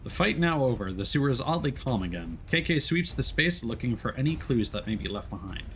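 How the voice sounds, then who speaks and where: A man's voice: mid-range voice, big pitch swings, brisk, fairly bright tone, slight background noise. A man streaming a video game, moving between casual commentary and reading story narration aloud.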